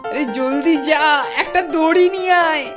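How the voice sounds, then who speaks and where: A woman's voice: high voice, natural voice. A woman voicing a bossy, boastful teenage boy in a cartoon who talks in a pompous way. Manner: bossy, pompous, quick to panic. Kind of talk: animated cartoon dubbing. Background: cartoon background music.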